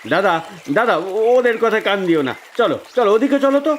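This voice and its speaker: A man's voice: high voice, sing-song, moderate pace. A man playing a good-natured, hesitant younger brother, a village fisherman in a cartoon folk tale. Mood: reassuring. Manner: hesitant, exclamatory.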